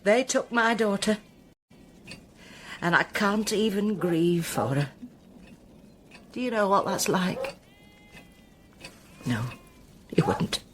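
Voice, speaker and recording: A woman acting in a radio drama as a distraught, devout mother, speaking in a halting, emotional way. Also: mid-range voice, slight background noise.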